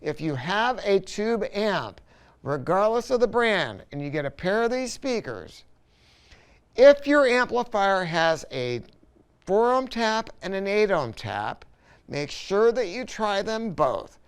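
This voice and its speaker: A man with a fairly high, very clean and smooth voice presents a product review. He talks casually and colloquially, like a folksy shop salesman. He leans emphatically on his points. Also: very animated, slow.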